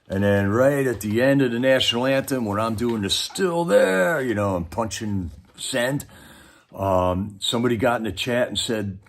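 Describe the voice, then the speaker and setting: An American man with a somewhat low voice talks as a livestream host. His delivery is loose, folksy and conversational, wandering through his commentary as if chatting with regulars. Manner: folksy, conversational, peppers talk with "man" and "you know". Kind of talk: casual rambling livestream commentary.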